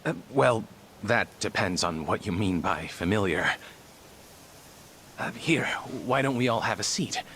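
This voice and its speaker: An actor playing a reserved, formal middle-aged male clinic director with a measured delivery in an English video game dub. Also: fairly low pitch, lively intonation, a bit bright, a little hiss or hum. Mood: courteous, guarded.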